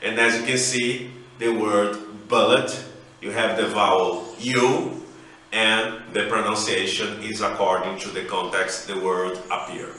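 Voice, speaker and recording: Slow, careful Brazilian-accented English teacher. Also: male voice, fairly low pitch, fairly even intonation, pushed and bright. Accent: Brazilian Portuguese. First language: Brazilian Portuguese. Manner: slow, careful, spelling out sounds one by one. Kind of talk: recorded online lesson. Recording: echoey room, voice away from mic.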